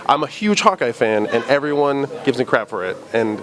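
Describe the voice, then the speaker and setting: A man's voice: medium pitch, expressive, fairly quick, fairly bright tone, rough recording. A man interviewing guests in a casual, enthusiastic, chatty way, speaking as a fan. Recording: crowd noise outdoors.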